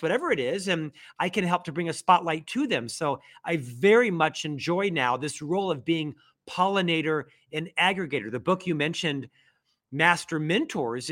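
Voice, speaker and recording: Man, middle-aged, from florida, stutters, upbeat storytelling, higher pitch. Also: fairly bright tone. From Florida, USA. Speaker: podcast guest, author. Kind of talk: conversational interview.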